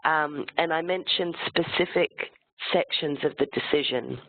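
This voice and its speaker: A webinar presenter with a cold and a croaky voice, explaining the material step by step, slide by slide. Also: mid-range voice, unhurried, no room sound. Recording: tinny phone-like line, dry sound.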